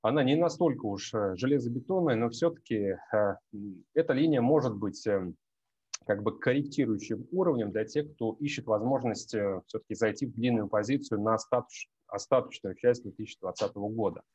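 A man's voice: fairly low pitch, brisk, darkish tone, slightly rough, dry recording, dull audio. Hesitant male analyst on a headset. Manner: many hesitations, addresses viewers as friends. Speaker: market analyst host. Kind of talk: market analysis talk.

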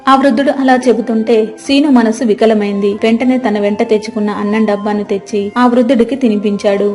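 A woman narrates a scripted story. Her voice is dark and mellow in tone, yet pitched a little high. Faint music plays behind her. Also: steady tone, fast talker.

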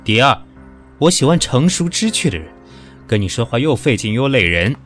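A man acting in an audio drama as a cool, sharp-tongued professional who is weary and dismissive of his pushy lover. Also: mid-range voice, big pitch swings, normal speed, faint music behind. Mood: cold, dismissive.

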